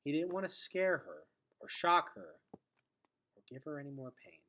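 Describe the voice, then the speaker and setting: A man reading a storybook aloud expressively, with dramatic delivery that drops into hushed, breathy tones. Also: a little high, very animated, moderate pace, poor audio. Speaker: teacher reading aloud to students.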